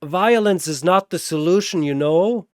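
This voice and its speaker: A man's voice: high-pitched, a little slow, clear, resonant tone, no echo, clean studio sound, pitched above the performer's own voice. A man voicing a cheerful, naive, friendly peacemaker in a story, chirpy and earnest.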